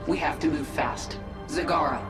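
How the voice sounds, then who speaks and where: A woman's voice: sits low, close to an everyday voice. A woman as a commanding, echoing swarm queen. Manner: measured, authoritative. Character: commanding alien swarm queen, cool and ruthless. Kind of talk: video game voice acting. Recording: echoing, with music behind.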